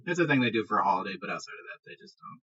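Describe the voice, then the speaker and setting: Dull-sounding recording, forceful low-voiced husky man. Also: big pitch swings, very fast. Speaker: game master. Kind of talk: improvised narration of a tabletop game. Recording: dull lo-fi podcast audio.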